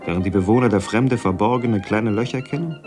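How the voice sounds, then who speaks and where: A man playing a musing reciter in a literary radio collage, halting and interrupting himself with questions. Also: on the low side, music in the background, close to an everyday voice.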